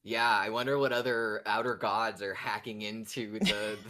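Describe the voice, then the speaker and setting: A woman's voice: rumbling low, steady tone, moderate pace, pushed and bright. A woman co-hosting a casual fan podcast, talking in a teasing, amused way. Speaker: podcast co-host.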